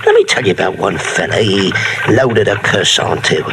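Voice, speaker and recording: An actor playing a talkative, superstitious old island boat captain in a 1950s radio drama, spinning folksy yarns. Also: male voice, low-pitched, expressive, normal speed. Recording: old radio broadcast with clear hiss.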